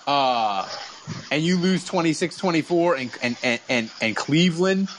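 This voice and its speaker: A man's voice: a little high, lively intonation, normal speed, fairly bright tone, slight background noise. A man hosting casual sports talk, telling stories in a rambling, repetitive way and acting out reactions. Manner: rambling, repeats words, acts out remembered reactions, swears. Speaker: sports talk show host. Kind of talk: casual sports chat and storytelling.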